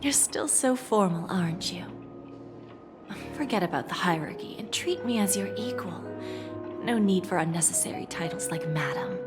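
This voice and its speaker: Game role: poised female mentor-boss, woman actor, breathy, coaxing, calculating, unhurried, over music. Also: fairly low pitch, animated, close to an everyday voice. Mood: coaxing, intimate. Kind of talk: video game voice acting. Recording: background music behind voice.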